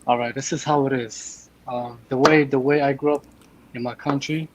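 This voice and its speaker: A man's voice: mid-range voice, fairly even intonation, normal speed. A man in his thirties from Afghanistan, a guest telling earnest stories over a remote call. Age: 30s. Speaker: podcast guest. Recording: dull remote call audio with faint noise.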